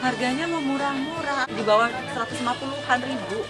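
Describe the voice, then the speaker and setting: A woman's voice: high voice, brisk, loud music behind the voice. A woman in her thirties with an Indonesian accent, chatting casually as a vlogger, slangy and exclaiming, mixing in English words. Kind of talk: casual shopping vlog chat. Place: indoor clothing shops in a busy mall.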